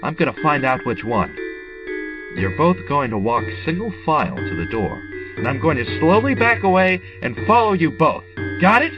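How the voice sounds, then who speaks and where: A man's voice: on the high side, big pitch swings, moderate pace, dull audio, music in the background. An actor in an audio drama playing a tense, bossy armed man who takes charge and interrogates people urgently. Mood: commanding. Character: tense male amateur investigator with a gun, commanding.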